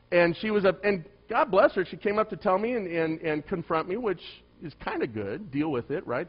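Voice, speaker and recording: A middle-aged male pastor with a soft voice, telling a story in a sermon and swinging between loud emphasis and hushed asides. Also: sits high, lo-fi sound.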